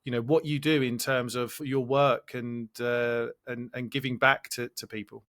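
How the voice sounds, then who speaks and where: A man's voice: medium pitch, flat delivery, normal speed, a bit bright. A man hosting a podcast interview, enthusiastic and asking long, rambling questions. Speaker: podcast host.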